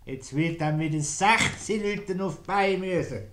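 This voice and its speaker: A man's voice: sits high, a little slow, a bit bright. A man playing an aggrieved, querulous countryside peasant who grumbles and complains in a 1950s radio comedy. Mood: indignant grumbling.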